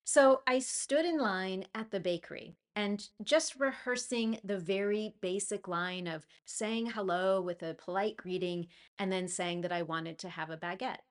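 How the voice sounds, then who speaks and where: A woman teaching English to learners, talking through a clear, encouraging lesson with a personal story. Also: mid-range voice, unhurried, few pauses. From United States. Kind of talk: scripted lesson monologue.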